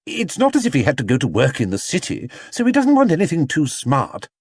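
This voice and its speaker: Fussy, high-pitched motherly lady played by a man. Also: huge pitch swings, a little fast, husky, no room sound, studio quality, exaggerated voice. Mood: brisk, decided. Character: fussy, anxious motherly middle-class woman shopper.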